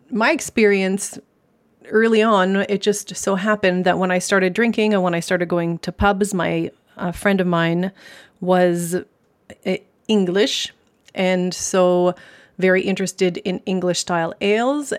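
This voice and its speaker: Chatty Canadian woman, lowish voice. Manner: chatty, thoughtful, many fillers. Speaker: beer sommelier guest. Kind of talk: podcast interview.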